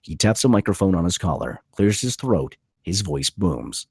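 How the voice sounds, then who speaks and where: Deep, husky, animated male screenplay narrator. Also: moderate pace, dry recording, clean studio sound. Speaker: narrator reading stage directions.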